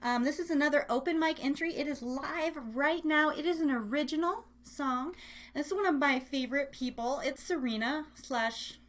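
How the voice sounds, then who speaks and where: A woman's voice: high-pitched, moderate pace, a bit bright. A woman hosting a live online music show in a casual, chatty, gushing way. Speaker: radio show host.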